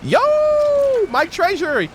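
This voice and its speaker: A woman giving casual, excitable game playthrough commentary, full of slang and swearing. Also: very high-pitched, very slow, background noise. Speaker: game streamer.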